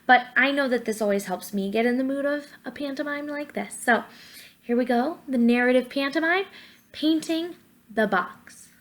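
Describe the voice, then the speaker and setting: High-pitched young woman teaching children. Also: normal speed. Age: young adult. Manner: encouraging, clearly explaining to young students. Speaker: drama teacher. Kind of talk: instructional talk and guided pantomime narration for children. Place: living room at home, indoors. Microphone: webcam or laptop at arm's length. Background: soft quiet music.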